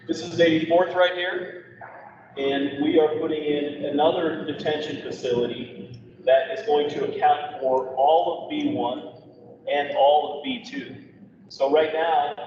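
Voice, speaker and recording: Methodical man presenting in an echoey hall. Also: a little high. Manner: plain, methodical explaining. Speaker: engineer presenter. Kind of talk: technical explanation at a public meeting. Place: large meeting room. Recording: echoey hall, far from mic, dull, background noise.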